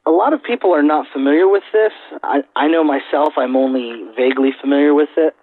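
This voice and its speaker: A man hosting a podcast interview in a casual, measured and slightly hesitant way. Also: on the high side, no echo, narrow, tinny audio.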